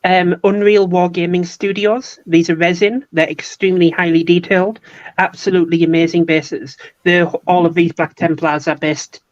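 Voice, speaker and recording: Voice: mid-range voice, little pitch movement, moderate pace, dry recording. A guest painter in a casual hobby chat, explaining painting steps plainly and teasing a little. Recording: dull, dry lo-fi mic.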